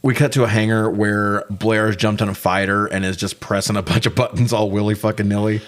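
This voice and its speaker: Male podcast host, low-pitched, casual and opinionated, recaps films with plenty of swearing. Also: brisk. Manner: sweary, opinionated, animated retelling. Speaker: movie review podcast host.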